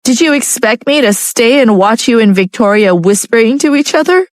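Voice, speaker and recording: A woman voicing a desperate young wife who pleads with her husband through tears, her voice pitched high above her narration. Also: lively intonation, moderate pace, dry recording, natural voice. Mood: distraught.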